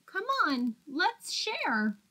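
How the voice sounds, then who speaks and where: A woman voicing a grabby, bossy young male dinosaur in a children's storybook, with drawn-out, possessive protests. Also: high-pitched, very animated, bright, forceful tone, voice pushed up, full character voice. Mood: pleading. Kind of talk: children's storybook read-aloud.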